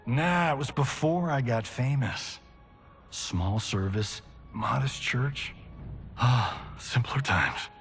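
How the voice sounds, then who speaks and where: An actor playing a cocky, laid-back Hollywood star in a video game, breezy, joking and slangy. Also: male voice, mid-range voice, slow. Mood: wistful, breezy. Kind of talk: casual banter in game cutscene. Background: faint music.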